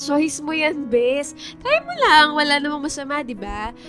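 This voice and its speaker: A woman's voice: high voice, measured pace, faint music behind, heavily acted. A woman playing a young student in a radio romance drama, the teasing, exasperated but supportive best friend. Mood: amused, teasing. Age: young adult. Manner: teasing, animated, colloquial.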